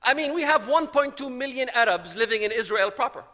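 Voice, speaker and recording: A man's voice: extremely high pitch, animated, brisk, pushed and bright, in a large hall. A man from Palestine giving a personal testimony, telling his story dramatically and acting out the dialogue. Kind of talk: storytelling talk to an audience.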